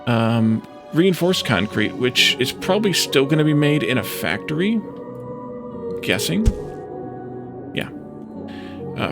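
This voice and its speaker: A man giving unscripted gameplay commentary as a let's play host, thinking aloud in a hesitant, halting way. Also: on the high side. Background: clear background music.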